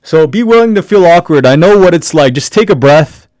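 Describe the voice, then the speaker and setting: A man talks in a deep, loud voice that comes from the diaphragm and sits a little higher than usual for a man. He gives casual, encouraging advice, full of fillers and restarts. The recording is dry and close, with no room sound. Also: lively intonation, brisk, dry recording. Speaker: podcast speaker giving networking advice. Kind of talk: motivational talk.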